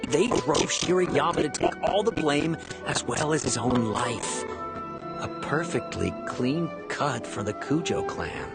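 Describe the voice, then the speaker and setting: An actor playing a cynical, gossipy police officer in a video game, emphatic and sure of himself. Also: male voice, a little high, lively intonation, measured pace, over loud music. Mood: insistent, cynical. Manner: emphatic, speculative.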